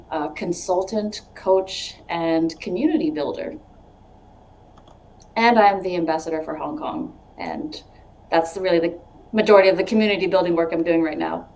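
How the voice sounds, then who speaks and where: A woman raised in North America, a native Cantonese speaker, briskly introducing her science writing business on a video call. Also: lowish voice, a little mellow, rough recording. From Canada and US. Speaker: science content writer and coach.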